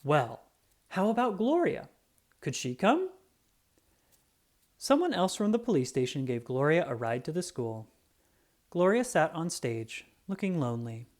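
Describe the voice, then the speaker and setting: A storybook narrator reading aloud for children in an expressive, dramatic way with drawn-out emphasis. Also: mid-range voice.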